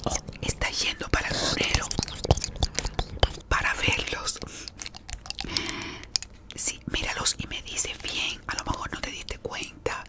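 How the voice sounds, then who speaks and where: A woman whispering in an ASMR roleplay as a beautician, gossiping while she treats a client. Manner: gossipy whispering, talking to an unseen caller and a client.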